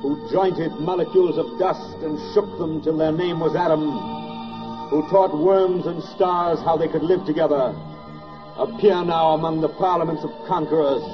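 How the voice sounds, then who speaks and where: Man acting a solemn, high-pitched vintage radio orator. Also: close to an everyday voice. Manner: declamatory, reverent, measured. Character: solemn male narrator praying over a war's end. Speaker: narrator of a radio drama. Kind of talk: 1940s radio oratory, solemn dramatic narration. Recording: muffled vintage 1940s radio recording. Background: orchestral score.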